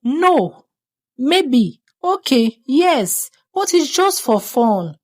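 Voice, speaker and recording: A woman's voice: high-pitched, big pitch swings, extremely slow, voice pushed up, exaggerated voice. A woman voicing a mischievous little girl in a folktale, breezy, evasive and defensive. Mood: sheepish, defensive.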